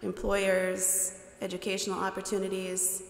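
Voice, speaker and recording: A middle-aged woman preaching a sermon from a pulpit on a headset mic, with a measured, deliberate cadence. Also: medium pitch, monotone, vocal fry. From United States. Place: large church sanctuary. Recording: echoey church hall with long reverb.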